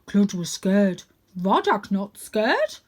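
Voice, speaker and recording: A woman voicing a big, gentle, lonely male dog from a children's storybook, speaking in halting broken phrases. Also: medium pitch, big pitch swings. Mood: sad, sheepish.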